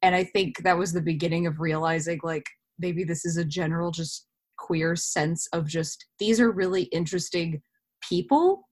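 A woman in her twenties with a slightly low voice speaks as a guest in a casual interview. She is chatty and reflective, working through her thoughts out loud as she goes. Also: normal speed, a little hiss or hum. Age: 20s. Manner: chatty and self-reflective, thinking aloud.

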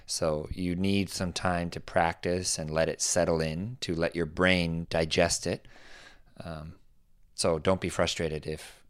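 A man co-hosting a casual podcast, speaking in a measured, easygoing way and slowing down when he explains. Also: low voice, level tone, clear, resonant tone, no room sound, studio quality. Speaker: podcast co-host and teacher.

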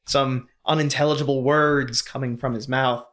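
A man's voice: medium pitch, steady tone, normal speed, fairly bright tone. A man narrating a tabletop role-playing game as game master, describing scenes and calling for rolls.

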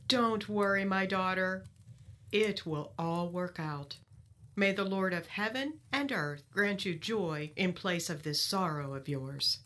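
Consoling mother played by a woman, middle-aged, lower register, unhurried and soothing, audio drama. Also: fairly bright tone. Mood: comforting. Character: gentle, consoling mother of the bride.

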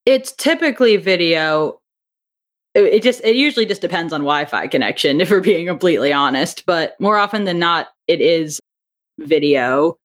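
A woman speaking as a podcast guest in a conversational interview, telling things in a chatty, animated way. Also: on the low side, normal speed. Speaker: podcast guest, audio drama writer and director.

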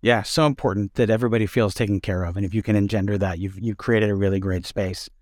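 A man hosting a relaxed, conversational interview, informal and affirming, feeling out his questions as he talks. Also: fairly low pitch, fast talker, dry recording, clean studio sound. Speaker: interview host.

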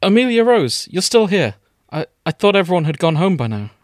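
A man playing a stern, flustered young man who speaks in a hurried, stiff way. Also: sits high, sing-song, no echo, clean studio sound, pitched above the performer's own voice. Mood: surprised.

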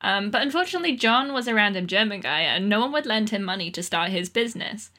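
A woman's voice: mid-range voice, lively intonation, fast, bright, forceful tone. A woman co-hosting a podcast, telling a story in a chatty, playful, self-deprecating way. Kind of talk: casual comedic storytelling.

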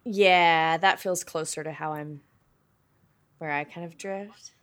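A woman co-hosting a podcast in casual banter, playful, reactive and quick to swear. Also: sits low, fairly even intonation, a bit bright. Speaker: podcast co-host.

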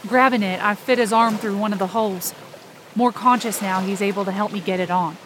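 A woman's voice: medium pitch, a little fast, background noise, close to an everyday voice. A woman playing a panicked young woman, narrating a desperate scene in the first person with urgent intensity for a fiction podcast. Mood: tense, exhausted. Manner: urgent, intense, breaking into cries.

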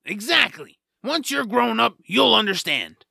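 A man's voice: very high-pitched, big pitch swings, a little slow, vocal fry, sharp tone, husky, no echo, clean studio sound, heavily acted. A man voicing a grumpy, lazy, vain troll with a pompous manner in a fantasy audio drama. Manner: cranky, pompous.

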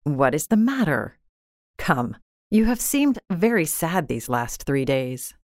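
A modest, practical husband from a classic storybook, acted out by a woman. He speaks in a lowish voice, patient and coaxing, in a very dry recording. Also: sing-song, slow, no echo, full character voice. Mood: concerned. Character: modest clerk husband, well-meaning, patient, practical man.

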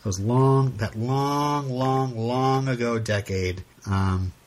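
A man hosting a podcast in casual chat, rambling and halting with many fillers and frequent laughs. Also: medium pitch. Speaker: podcast host.

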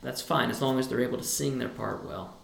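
High-voiced man with a gravelly edge. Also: a little fast, fairly bright tone, echoey room. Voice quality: high tenor speaking voice. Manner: thoughtful, rambling with restarts. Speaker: boys choir director. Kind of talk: relaxed interview answers.

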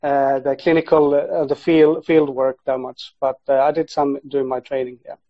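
A man who grew up in Finland answers interview questions as a podcast guest in a casual, halting way. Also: medium pitch, flat delivery. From born in Sweden, grew up in Finland, half Icelandic. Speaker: podcast guest, sports psychology researcher. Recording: dull, lo-fi audio.

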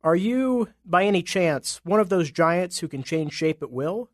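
A man's voice: a little high, sing-song, normal speed, a bit bright, dry recording, studio quality. A man voicing an imaginative young boy in a storybook tale, polite and coaxing in manner. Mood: soft, coaxing.

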